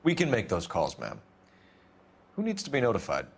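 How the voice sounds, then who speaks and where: A man's voice: lowish voice, wildly swooping pitch, moderate pace, creaky, slightly rough, heavily acted. A man playing a stern 1940s police detective, questioning a witness formally and probing for answers in a video game scene. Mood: polite questioning.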